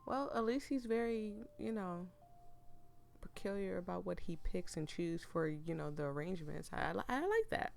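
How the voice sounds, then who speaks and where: A hoarse woman reading a story game aloud in a chatty, giggly way, with comments between the lines. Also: fairly low pitch, sing-song, moderate pace, a little mellow, a little hiss or hum. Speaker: game streamer.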